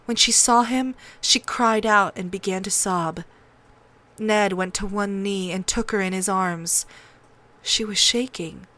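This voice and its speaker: A woman's voice: medium pitch, a little slow, sharp tone. A woman reading scripted fiction as an audiobook narrator, in a dramatic storytelling style.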